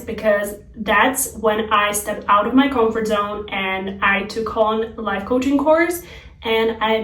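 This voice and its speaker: Higher-voiced young Slovak woman, roomy sound. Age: young adult. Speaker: lifestyle vlogger. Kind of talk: casual chat to viewers. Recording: roomy, distant microphone.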